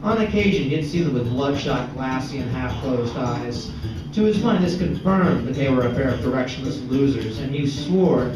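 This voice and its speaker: A man's voice: mid-range voice, moderate pace, dull audio. A man giving a live reading of fiction, occasionally stumbling over and repeating words. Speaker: author reading his novel aloud. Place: art gallery, live event. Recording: distant mic, very echoey room, loud guitar music. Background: loud plucked guitar music.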